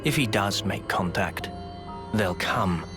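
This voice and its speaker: A man voicing a calm, ominous villain in a video game, measured and confident. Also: on the low side. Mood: quietly confident. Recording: very loud ominous game music.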